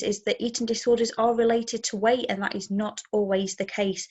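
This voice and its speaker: A young woman presents and interviews in a medium-pitched voice. She explains things plainly and phrases her questions with care and consideration. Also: a little mellow. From Leicester, England.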